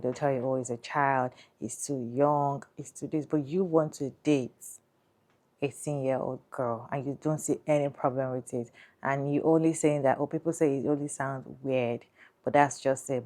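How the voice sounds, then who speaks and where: A woman with a strikingly deep voice and a dark, mellow tone hosts a commentary channel. She talks to her viewers deliberately and keeps asking what they think. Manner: deliberate, addresses viewers with questions. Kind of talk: reaction commentary.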